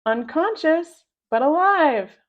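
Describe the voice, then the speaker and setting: A woman's voice: high voice, expressive, slow, muffled recording, pitched above the performer's own voice. A woman voicing a clever, mischievous schoolboy who leads his friends in a children's storybook. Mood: wary, reassuring.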